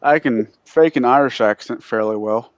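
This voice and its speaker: A man's voice: medium pitch, normal speed, dry recording. Boisterous man, dry close sound. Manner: loud, boisterous talker. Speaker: tabletop game player. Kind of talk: casual chat on a livestream.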